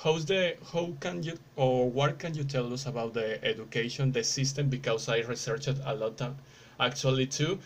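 A man's voice: medium pitch, moderate pace, a little hiss or hum. A man who is not a native English speaker, asking casual interview questions and searching for his words.

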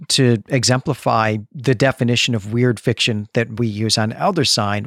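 A man's voice: mid-range voice, dry recording, studio quality. A man hosting a podcast, giving unscripted literary analysis in long, explanatory sentences. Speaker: podcast host.

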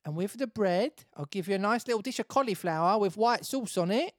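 A woman's voice: mid-range voice, lively intonation, a little fast, dry recording, voice pushed up, natural voice. A good-natured little water woman from a storybook, as a female reader puts her on. She coaxes in a friendly, slightly raised voice, recorded dry and close. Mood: coaxing. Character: kindly little woman water carrier, coaxing.

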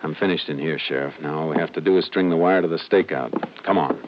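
Deep, steady Texas Ranger on old radio. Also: male voice, quick pace. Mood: quietly intent. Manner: terse, matter-of-fact. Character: calm, methodical Texas Ranger lawman narrating his case. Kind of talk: 1950s radio crime drama acting. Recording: noisy, muffled 1950s radio recording.

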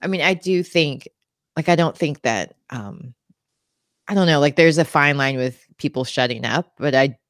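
Low-voiced woman host, dry close sound. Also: normal speed, no echo. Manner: rambling, hedging with fillers, laughs. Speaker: podcast host. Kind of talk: casual interview chat.

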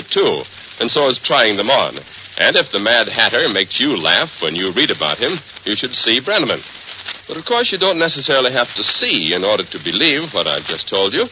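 A man's voice: mid-range voice, bright, forceful tone, a little hiss or hum, rough recording. An American man announcing in an emphatic, theatrical 1940s radio style, on a vintage broadcast recording.

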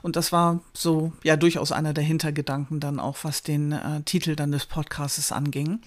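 A woman hosting a podcast, speaking in a measured, thoughtful way and often affirming her guest with quiet sounds. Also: sits low, level tone. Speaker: podcast host. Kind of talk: conversational podcast.

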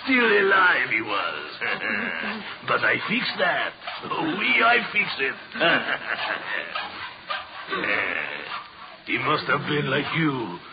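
Deranged French sewer killer for vintage radio horror. Slow, gloating delivery with huge pitch swings; very noisy old recording. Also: male voice, wildly swooping pitch, highs cut off, exaggerated voice. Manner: theatrical, gloating, cackling, punctuated with French endearments.

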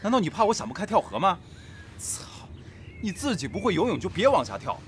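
Brash, high-voiced teenage boy played by a man. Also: big pitch swings, brisk, slight background noise. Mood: panicked, scolding. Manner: casual, exclamatory, swears. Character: brash, hot-headed teenage boy, carefree and teasing. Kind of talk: Chinese audio drama acting.

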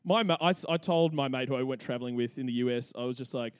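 A man's voice: medium pitch, fast talker, clear, resonant tone, no room sound, clean studio sound. A young man hosting a podcast, telling history in a cheeky, irreverent way and laughing often. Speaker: podcast host. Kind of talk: comedic history storytelling.

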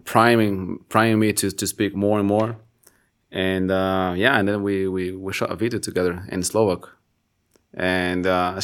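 A man casually telling a story on a podcast, relaxed and full of small restarts. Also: low-pitched. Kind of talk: casual conversation.

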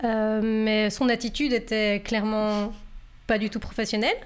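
A woman's voice: mid-range voice, little pitch movement, moderate pace, clear, resonant tone. A young adult woman from Belgium, a guest speaking casually and hesitantly, with many fillers and restarts. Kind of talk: casual conversation.

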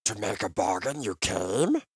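A man's voice: fairly low pitch, big pitch swings, a little slow, breathy edge, no room sound, studio quality, full character voice. A man voicing a sly, mocking little goblin with oily, fawning, questioning speech in a fantasy audiobook. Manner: obsequious flowery speech, all in questions.

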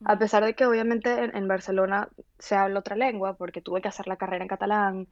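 A woman from Venezuela, telling stories in an animated casual conversation with many restarts. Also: mid-range voice, fast, no echo, dull audio.

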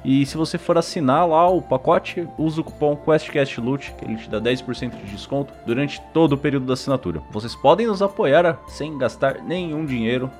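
A man's voice: mid-range voice, brisk, few pauses. Casual Brazilian man hosting a podcast, music behind. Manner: informal, slangy. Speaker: podcast host.

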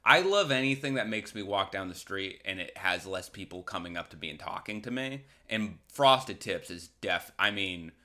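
Man, lower-pitched, bright forceful voice, casual solo podcast rant, sarcastic, sweary, colloquial. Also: expressive, normal speed, hardly any pauses. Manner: acts out imagined quotes. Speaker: podcast host.

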